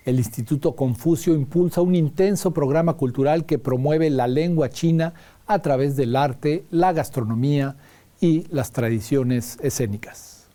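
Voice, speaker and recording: A man reading news headlines in a crisp scripted delivery. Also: on the high side, unhurried, dark tone. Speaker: news anchor.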